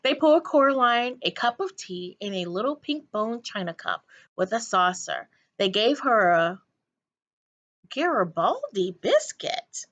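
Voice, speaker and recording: A woman narrates a children's book as a teacher reading aloud. Her voice is fairly high and moves up and down with plenty of expression. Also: very animated, unhurried, a bit bright, lo-fi sound.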